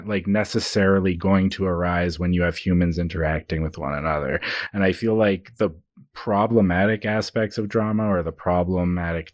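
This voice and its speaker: A male podcast host with a very low voice. He speaks in a reflective, discursive style, working through his thoughts in halting phrases. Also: no echo, studio quality.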